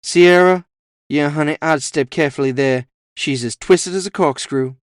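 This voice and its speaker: A man's voice: fairly high pitch, moderate pace, no echo, studio quality, voice pushed up, close to an everyday voice. A man voicing a sassy, teasing woman who talks with easy familiarity, in a cyberpunk audio story. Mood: gossipy. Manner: sassy, familiar, calls people honey. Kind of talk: audio fiction character voice.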